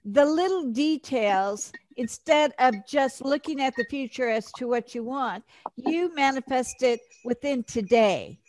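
A woman hosting an interview over a video call, prompting her guest with slow, drawn-out questions. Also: sits high, darkish tone, no echo. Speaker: interview host.

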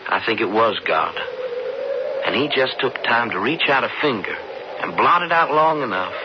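An actor playing an earnest, grateful young cowboy in a radio western, with an American accent and folksy, sincere phrasing. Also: male voice, mid-range voice, animated, normal speed, close to an everyday voice. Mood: awed, thankful. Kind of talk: radio drama acting. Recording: muffled, noisy old radio broadcast.

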